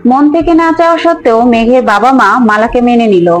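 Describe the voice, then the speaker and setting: Female storyteller for cartoon fairy tale: high voice, scripted narration, faint plucked-string music underneath. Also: lively intonation, fast.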